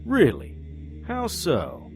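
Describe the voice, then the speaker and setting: A man's voice: fairly high pitch, huge pitch swings, extremely slow, soft background music, exaggerated voice. A man playing a wry, stubborn occult investigator who narrates and argues in a noir audio drama. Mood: sardonic, insistent. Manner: sardonic, confrontational.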